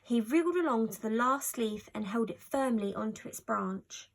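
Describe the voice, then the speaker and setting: A woman reads a children's storybook aloud. Her voice sits fairly high, and she narrates expressively, following the written text. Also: lively intonation, normal speed. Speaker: storybook reader.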